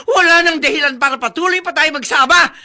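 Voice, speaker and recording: A woman playing an angry, accusing wife with a shrill, sarcastic delivery in a radio drama. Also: brisk, pushed and bright. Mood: furious, accusing. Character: angry, nagging wife accusing her gambler husband.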